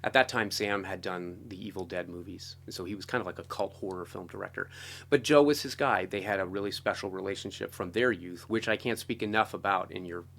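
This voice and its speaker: A middle-aged American man with a fairly low voice talks as a guest in a relaxed interview. He tells stories enthusiastically and loosely, circling back and peppering his phrases with "like" and "you know". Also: fairly quick, vocal fry. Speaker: interview guest, film and television composer. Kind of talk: casual conversational storytelling.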